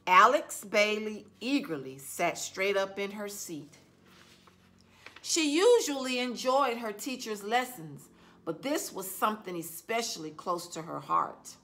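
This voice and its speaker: A woman librarian reading a children's novel aloud in a gentle, expressive storytelling manner. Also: medium pitch, unhurried. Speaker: youth services librarian.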